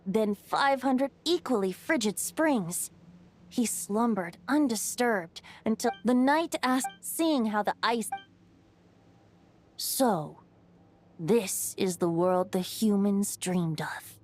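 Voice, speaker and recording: A woman's voice: mid-range voice, animated, slow pace, darkish tone, a little hiss or hum. A woman voicing a playful young male bard god in a video game, with a lilting, theatrical storytelling manner. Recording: music under the voice.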